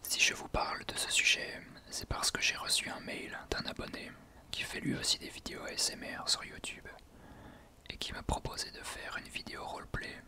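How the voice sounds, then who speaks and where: Male ASMR host, fully whispered and breathy, gentle explanatory delivery with hesitant pauses. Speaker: ASMR video creator, host. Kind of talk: whispered ASMR talk to listeners.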